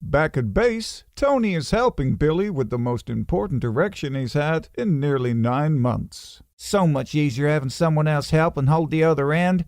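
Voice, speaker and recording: A man's voice: a little high, expressive, normal speed, darkish tone, no room sound, clean studio sound. A man reading comic scripted narration as a storyteller, with wry, sardonic asides.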